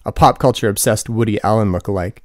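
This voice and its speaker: Low-voiced young man narrating, close and dry. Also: fast, darkish tone, no room sound. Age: 20s. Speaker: author reading own audiobook. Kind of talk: scripted humorous narration.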